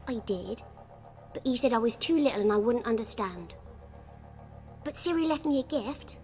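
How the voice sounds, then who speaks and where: A woman's voice: a little high, animated, moderate pace, a little mellow. A woman voicing an earnest little village girl in a fantasy game, speaking simply and candidly. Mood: wistful, earnest. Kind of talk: video game voice acting.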